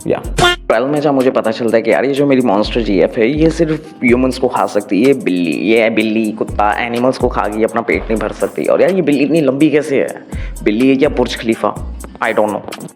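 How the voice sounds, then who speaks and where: An Indian man tells a story recap in a casual, colloquial way, mixing in English phrases as he goes. His voice sits at an ordinary male pitch. Music plays underneath the voice-over. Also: a little fast. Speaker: manga recap narrator. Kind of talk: casual first-person story recap with jokes.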